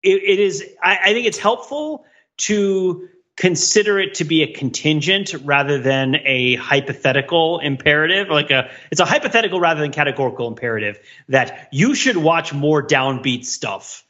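A man's voice: a little high, moderate pace, pushed and bright, dull audio. A man co-hosting a podcast in casual discussion, analytical and wordy with a joking streak. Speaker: podcast co-host.

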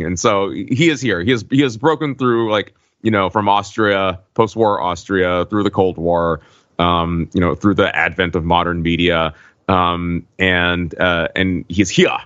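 A man's voice: deep voice, a bit bright, dry recording, dull audio. A man in casual podcast discussion, musing aloud and building grand points piece by piece. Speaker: podcast panelist. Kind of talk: casual pop culture discussion.

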